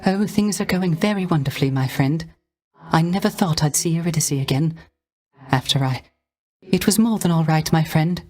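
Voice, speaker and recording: A man playing a gentle, melancholy dead musician in a video game, with a soft, light voice and wistful, courtly, hesitant phrasing. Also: a little high, normal speed, close to an everyday voice. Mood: hopeful, earnest. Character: gentle, melancholy dead male musician mourning lost love. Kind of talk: theatrical video game character acting.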